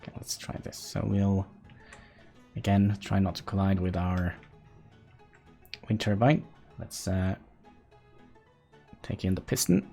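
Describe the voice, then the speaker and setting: A man's voice: low voice, a little slow, creaky, long pauses, warm tone, faint music behind. A man from Norway, chatting casually to his live-stream viewers while he plays, laughing often. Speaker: game streamer.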